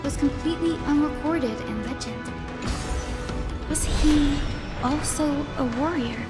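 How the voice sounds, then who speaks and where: Game storyteller: young, polite, inquisitive, high register, gentle delivery over prominent music. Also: female voice, measured pace. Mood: curious. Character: earnest, curious, polite young woman storyteller. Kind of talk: gentle video game voice acting. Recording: loud music behind the voice.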